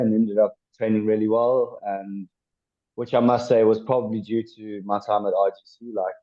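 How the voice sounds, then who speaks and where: A man in his twenties from South Africa, a former rugby player chatting as a podcast guest, with lots of pauses and restarts. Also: on the low side. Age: 20s. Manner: hesitant, many ums and restarts. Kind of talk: casual interview chat. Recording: dull lo-fi sound.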